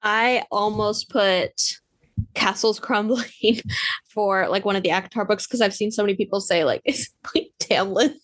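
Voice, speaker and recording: A woman co-hosting a podcast in casual, chatty talk, quick to laugh. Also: mid-range voice, fairly bright tone, no echo. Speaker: podcast co-host. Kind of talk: casual chat.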